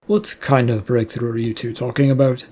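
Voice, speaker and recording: A narrator voicing an irritated, controlling male boss in a storybook, with a husky voice. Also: mid-range voice, fairly even intonation, moderate pace, dark tone, natural voice. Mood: demanding.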